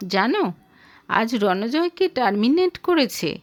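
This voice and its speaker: Eager yet easily hurt wife for audio drama voiced by a woman, highish, expressive, unhurried.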